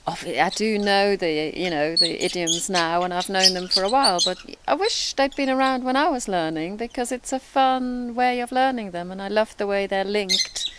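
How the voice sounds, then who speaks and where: A woman's voice: mid-range voice, normal speed, hardly any pauses, heavy background noise. A woman who is not a native English speaker, explaining things in a casual teaching chat with emphatic repetition. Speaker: guest explaining idioms.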